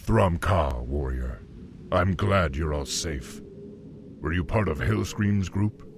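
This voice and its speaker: A man playing a young orc war chief in a fantasy game, noble and commanding, speaking gravely and formally with a gravelly voice. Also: low voice, animated, slow, a little hiss or hum, exaggerated voice. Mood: calm authority. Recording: game music behind the voice.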